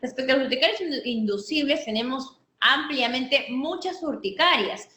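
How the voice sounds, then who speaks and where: A woman with a Peruvian Spanish accent teaches a medical class in a room that rings with echo. Her voice sits a little high and sounds sharp and bright. She explains step by step, often stopping to restart a phrase. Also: expressive, moderate pace. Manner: explanatory, many restarts and fillers. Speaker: physician lecturer. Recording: echoey room with faint noise.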